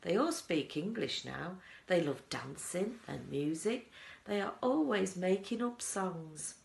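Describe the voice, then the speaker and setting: A woman's voice: on the low side, animated, slow, creaky, roomy sound, exaggerated voice. A woman voicing a proud, eccentric male chocolate maker telling his tale with emphatic relish in a storybook. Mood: proud explaining.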